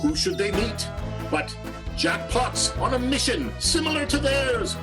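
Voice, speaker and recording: Female radio soap narrator: theatrical, high, swooping delivery over clear music. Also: big pitch swings, measured pace. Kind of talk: scripted-sounding radio serial narration.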